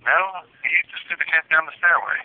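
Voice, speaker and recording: A man's voice: fairly high pitch, fast talker, no echo. Laconic male store manager on the phone. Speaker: store manager taking a customer call. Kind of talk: casual customer service phone call. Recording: tinny narrow telephone line.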